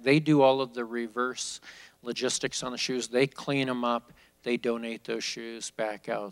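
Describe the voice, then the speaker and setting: A man's voice: mid-range voice, creaky. A man in his fifties, a company executive, talking candidly and off the cuff into a handheld mic from a seated spot on an auditorium stage. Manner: candid, off-the-cuff, with frequent restarts. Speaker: company executive speaking at a business school event. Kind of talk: conversational onstage Q&A.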